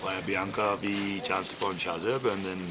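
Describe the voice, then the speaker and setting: A man who founded a travel company, speaking in a thoughtful, unhurried way in an interview. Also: deep voice, steady tone. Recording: outdoor with background noise.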